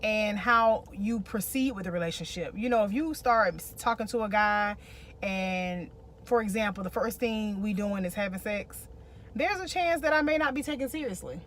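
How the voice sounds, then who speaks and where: A woman's voice: medium pitch, moderate pace, a bit bright. Emphatic American woman, noisy recording. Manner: emphatic, repeats phrases for emphasis. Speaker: relationship vlog co-host. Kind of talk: casual relationship advice chat.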